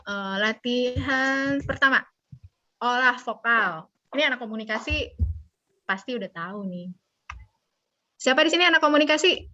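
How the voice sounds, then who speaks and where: A woman's voice: on the high side, expressive, normal speed, long pauses, sharp tone. A woman giving an informal, playful lesson as a public speaking instructor over a video call. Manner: playful, joking, colloquial. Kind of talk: informal interactive online lesson. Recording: dull, band-limited video call audio.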